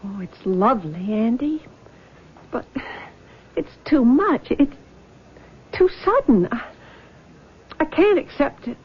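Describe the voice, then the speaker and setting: A woman's voice: on the high side, very animated, takes it slowly, long pauses, husky, heavily acted. An actress playing a timid, frightened young woman in a radio drama, hesitant and faltering as she speaks to her sweetheart. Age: young adult. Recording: muffled old radio broadcast.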